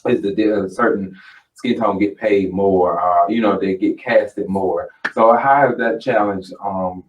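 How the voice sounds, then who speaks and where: A man hosting a talk show, chatting in an animated, rambling way full of fillers. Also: unhurried. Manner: animated, rambling, lots of fillers and jumps in pitch. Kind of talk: casual interview chat. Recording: distant mic, muffled lo-fi sound.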